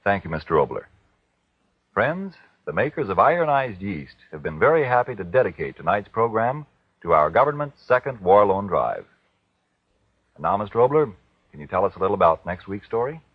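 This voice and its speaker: A man's voice: fairly low pitch, very animated, moderate pace, breathy edge, no echo. An American man working as a radio announcer, reading scripted announcements and sponsor plugs in 1940s style. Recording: tinny, dry 1940s radio recording.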